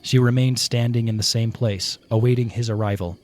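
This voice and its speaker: A man narrating a scripted fantasy audio play with dramatic, deliberate storytelling. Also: mid-range voice, fairly even intonation, a little mellow. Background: prominent music.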